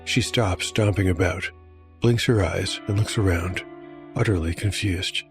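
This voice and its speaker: A man's voice: low-pitched, a little slow. A man narrating his solo tabletop game, rolling dice aloud and musing on the results. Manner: rolls dice aloud, reflective asides. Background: music.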